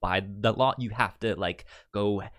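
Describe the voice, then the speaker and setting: A man with a stutter chatting casually as a podcast co-host, explaining things haltingly with repeated sounds and restarts. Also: on the low side, a bit bright, dry recording. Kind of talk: casual chat recapping sitcom episodes.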